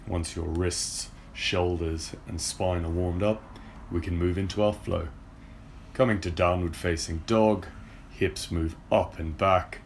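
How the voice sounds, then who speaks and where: A young man leading a yoga warm-up flow, calmly cueing breath and movement, recorded from a camera across a car park. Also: low voice, a little slow, a little hiss or hum. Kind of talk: guided workout instruction. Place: indoor car park with brick wall. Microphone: far camera.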